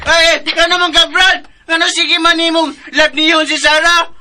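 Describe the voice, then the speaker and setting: An actor playing a simple-minded, childlike younger brother in a radio drama, talking in a playful, taunting way. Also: male voice, very high-pitched, flat delivery, normal speed, background noise. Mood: teasing. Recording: music bed behind the voice.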